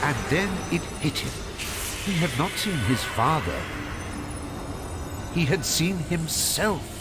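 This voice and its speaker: A man narrating a wizard adventure game in a measured, suspenseful storytelling style. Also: a little high, expressive, over loud music. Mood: dramatic narration. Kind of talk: scripted dramatic game narration.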